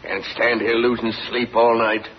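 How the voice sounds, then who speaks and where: An actor playing a surly, hostile sheep farmer who barks curt orders in a 1940s radio drama, on a dull old recording. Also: male voice, medium pitch, little pitch movement, normal speed. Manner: gruff, curt, threatening.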